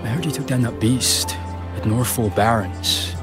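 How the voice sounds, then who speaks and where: A man playing a calm, commanding gang boss. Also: medium pitch, normal speed, over music, lightly acted. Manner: calm, commanding, dryly joking. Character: confident male gang leader, smooth and commanding. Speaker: leader of a crew.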